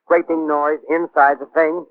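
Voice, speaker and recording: An actor as an excitable 1930s radio reporter, tinny. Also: male voice, fairly high pitch, measured pace, close to an everyday voice. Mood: urgent, intrigued. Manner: hesitant, searching for words, formal. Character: excitable male radio news reporter at the scene. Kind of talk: 1930s radio drama acting as live news commentary. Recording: tinny band-limited 1930s radio broadcast.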